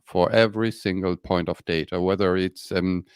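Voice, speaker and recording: Podcast co-host, man, Polish German accent, deep smooth voice, hesitant, dry close mic. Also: steady tone, normal speed, no room sound. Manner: hesitant, many ums and restarts. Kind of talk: casual discussion.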